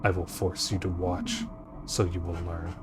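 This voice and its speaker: A cruel king speaks low and slow, every threat hushed and gloating, as a man voices him for a game. The voice sits deep. Music plays clearly behind. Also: completely flat delivery. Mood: menacing. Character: menacing male king villain, cruel and gloating.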